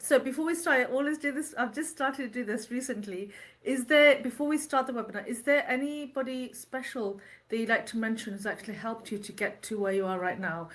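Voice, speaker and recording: A woman's voice: on the high side, brisk. A woman hosting an interview webinar, chatty and upbeat as she prompts her guest with questions. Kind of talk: casual interview.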